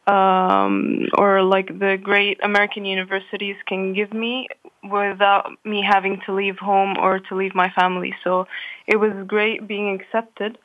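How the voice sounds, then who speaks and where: A woman's voice: medium pitch, flat delivery, unhurried, narrow, tinny audio. A young woman from Qatar, an engineering student, answering interview questions hesitantly with many fillers. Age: young adult.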